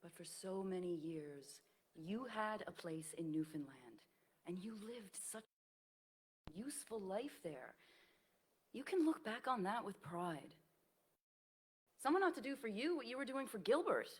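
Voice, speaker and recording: A woman's voice: medium pitch, sing-song, unhurried, pauses often, breathy edge. Older female professor of nursing, played by an actress, fond and earnest stage delivery, widely varied intonation. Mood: gentle, reflective. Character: aging woman nursing professor, fond, encouraging, reassuring friend. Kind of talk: staged play reading.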